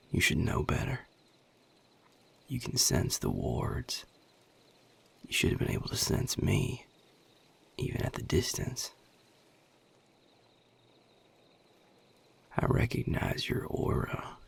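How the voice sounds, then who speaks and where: A man voicing a guarded, gruff vampire with a Southern US accent in an audio roleplay, husky and breathy, drawling with sardonic, curt authority. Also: huge pitch swings, unhurried, long pauses, whispered, full character voice. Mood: stern, wary. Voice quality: gravelly, husky, breathy low voice. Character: guarded, gruff Southern male vampire defending his territory. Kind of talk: audio roleplay addressed to the listener.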